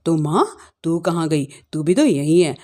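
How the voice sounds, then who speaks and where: A woman's voice: low-pitched, lively intonation, unhurried, warm tone, pitched below the performer's own voice. A woman voicing a grumbling, easily swayed husband and son in a blunt manner for a family story. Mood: puzzled.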